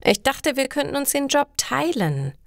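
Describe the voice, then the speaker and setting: A woman's voice: sits high, big pitch swings, normal speed, creaky, no room sound, clean studio sound, voice pushed up, exaggerated voice. A woman voicing a bossy, scheming male coworker in a storybook for language learners, speaking emphatically and with authority. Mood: exasperated, scornful.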